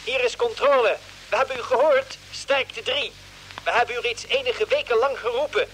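An actor playing a formal mission control operator calling over space radio in clipped phrases, in old Dutch radio drama style. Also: male voice, very high-pitched, normal speed, a bit bright, poor audio. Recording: hissy vintage radio play recording.

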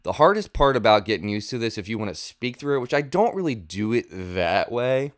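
A man's voice: medium pitch, big pitch swings, brisk, dry recording. A man in his thirties giving a casual, informal guitar gear demo, thinking aloud as he explains, close on a studio mic. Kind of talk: casual instructional chat. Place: small home studio room. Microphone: close studio mic on boom arm.

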